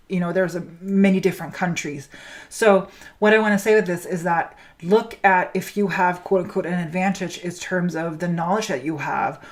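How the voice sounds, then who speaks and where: Danish woman coach, native Danish, low-ish voice, earnest monologue full of ums and restarts. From Denmark. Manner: hesitant, many fillers and restarts; earnest, encouraging. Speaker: spiritual business coach. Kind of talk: solo podcast monologue.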